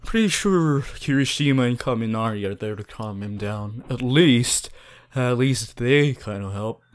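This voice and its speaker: A man voicing an earnest, fussy but caring student class president in an ASMR roleplay, gently bossy and often stumbling over his words. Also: medium pitch, expressive, unhurried, lightly acted. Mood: gently nagging. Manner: hesitant, repeating himself, gently bossy. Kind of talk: ASMR audio roleplay addressed to the listener.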